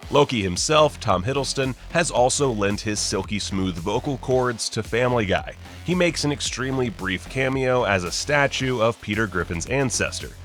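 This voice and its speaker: A man's voice: mid-range voice, animated, normal speed, hardly any pauses, a bit bright, soft background music. A man narrating a scripted list video as host, with wry, sarcastic, joking asides. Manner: wry, sarcastic, jokey mock-casual delivery.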